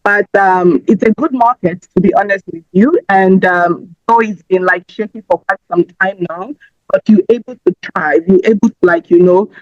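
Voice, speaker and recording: A woman's voice: mid-range voice, normal speed, a little mellow, dry recording. A woman, chatting as a podcast guest, talking in a rambling way with fillers and restarts. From Nigeria. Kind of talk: casual interview answers. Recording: slightly muffled dry audio.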